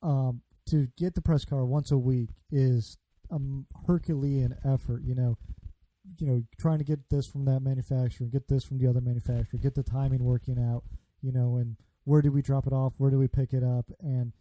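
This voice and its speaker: Host, male, deep dark tone, laid-back rambling delivery. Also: brisk, low-quality recording. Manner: relaxed, rambling with fillers. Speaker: podcast host. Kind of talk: casual interview chat.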